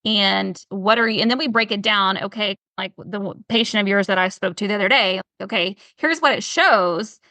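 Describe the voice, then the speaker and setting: A woman with a Southern US drawl speaks with a bright, forceful edge over a dry, close sound. She talks through health topics in a casual, animated way, often piling up rhetorical questions. Her pitch sits in a middling range. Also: moderate pace, few pauses, no room sound. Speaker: health professional guest. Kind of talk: casual podcast conversation.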